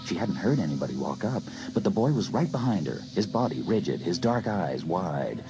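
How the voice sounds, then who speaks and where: A man's voice: sits low, very animated, moderate pace, music in the background, poor audio. A man narrating a scripted suspense story as an audiobook narrator.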